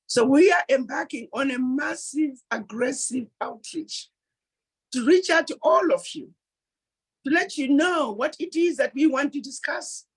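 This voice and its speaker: Husky-voiced woman giving an emphatic address. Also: on the high side, rough recording. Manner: emphatic, repeats key points for emphasis; acts out quoted dialogue. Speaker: speaker at a diaspora planning meeting. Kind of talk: public address.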